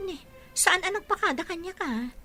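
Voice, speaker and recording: A woman's voice: very high voice, animated, normal speed, pushed and bright, soft background music, heavily acted. A woman playing a fretful, questioning young girlfriend and daughter in an audio drama.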